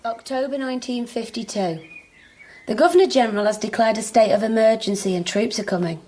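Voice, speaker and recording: A woman's voice: a little high, fairly quick, a little mellow, close to an everyday voice. A woman playing a curious, playful British character with a wry manner in a naturalistic radio drama. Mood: reading aloud. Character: nosy, wry British woman rummaging through an estate. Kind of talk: naturalistic radio drama dialogue and reading aloud.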